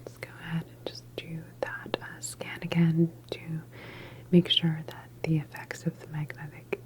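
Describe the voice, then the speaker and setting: A woman playing a calm, reassuring doctor in an ASMR medical roleplay, speaking in a soft, breathy whisper with gentle, hesitant phrasing. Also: deep voice, moderate pace, close to an everyday voice. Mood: soothing, sympathetic. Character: calm, reassuring female doctor at a government facility.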